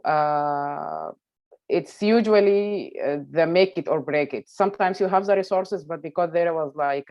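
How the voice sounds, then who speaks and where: A woman from Sudan giving unscripted panel remarks in halting, hesitant phrases. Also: deep voice, darkish tone. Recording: dull, lo-fi video call audio.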